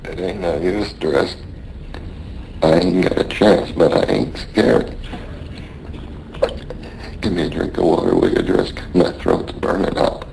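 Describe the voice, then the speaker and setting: Very deep, gravelly dying sailor. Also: male voice, very animated, unhurried, pauses often, warm tone, slight background noise, full character voice, rough recording. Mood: resigned weary. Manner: rough uneducated sailor speech. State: weak, in pain. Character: dying merchant sailor, stoic, rough-spoken, weary. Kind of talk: radio drama acting.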